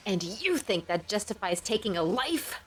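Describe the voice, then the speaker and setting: An actress playing a sharp-tongued companion in an audio drama, challenging and sarcastic. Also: female voice, medium pitch, sing-song, normal speed, natural voice. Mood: scornful. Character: defiant, sharp-tongued female adventuring companion. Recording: slightly distant from the mic.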